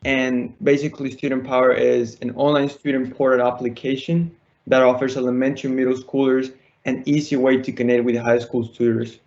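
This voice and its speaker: Even-toned young man pitching. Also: fairly quick, creaky, highs cut off. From Colombia. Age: teens, high school student. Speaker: student entrepreneur pitching. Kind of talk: prepared pitch presentation. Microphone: online call.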